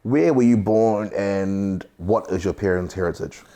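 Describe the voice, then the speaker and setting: Low-voiced, joking male interviewer. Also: a little slow, mellow. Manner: jokey and hyped, boastful banter. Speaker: interviewer, host. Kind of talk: casual interview.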